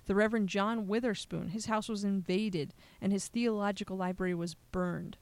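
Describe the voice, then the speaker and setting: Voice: mid-range voice, steady tone, normal speed. Radio host narrating patriotic history.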